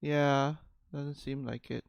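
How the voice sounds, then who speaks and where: A woman's voice: a bass voice, level tone, takes it slowly, gravelly, a little mellow, no echo, lo-fi sound. A woman chatting casually on a livestream, giggly and self-deprecating. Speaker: streamer.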